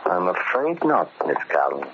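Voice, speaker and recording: Deep, coldly menacing avenger, old radio. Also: male voice, sing-song. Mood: coldly polite. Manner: menacing, deliberate, coldly polite. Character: vengeful, cold, cunning ex-convict barber posing as servant. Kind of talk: 1940s radio drama acting. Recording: scratchy, narrow-band old radio recording.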